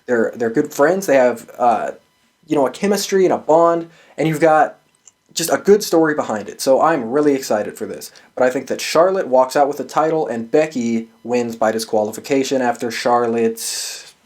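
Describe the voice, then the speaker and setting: A man's voice: medium pitch, animated, moderate pace, a little mellow. A man hosting a show, giving casual, opinionated sports commentary in an emphatic, sweary way. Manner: profane and emphatic. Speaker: host of a wrestling prediction show.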